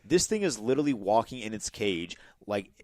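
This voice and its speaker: A man's voice: lowish voice, very animated, brisk, darkish tone, dry recording. A man hosting a podcast, bantering casually and reading out offbeat headlines. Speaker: podcast host. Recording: music playing behind the talk. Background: music.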